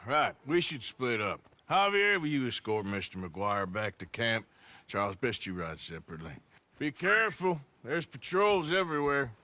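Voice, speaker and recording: A man's voice: mid-range voice, expressive, moderate pace, pushed and bright, no echo. An actor playing a curt, sardonic American outlaw gunman in a Western video game. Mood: brusque. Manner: curt, dry, drawling sarcasm. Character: gruff, sardonic outlaw gunman in the Old West. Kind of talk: cinematic video game acting.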